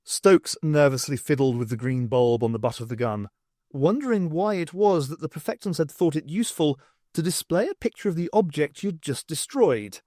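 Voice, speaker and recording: A man reading a novel aloud as an expressive audiobook narrator, in an animated storytelling manner. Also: a little high, normal speed, no room sound, clean studio sound. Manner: animated storytelling with acted dialogue.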